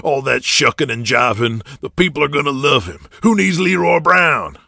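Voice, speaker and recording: A man playing a gruff, foul-mouthed, money-minded boss of a wrestling promotion, talking bluntly. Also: a little high, animated, moderate pace, pushed and bright, dry recording, studio quality, voice pushed up, heavily acted. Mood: excited.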